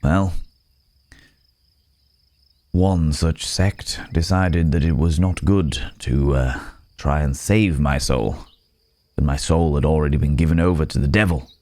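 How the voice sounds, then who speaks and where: Man voicing a very deep, brooding werewolf recluse. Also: measured pace, a little mellow, no echo. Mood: resigned, sombre. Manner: hesitant, pensive, old-fashioned formal phrasing. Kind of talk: ASMR roleplay monologue.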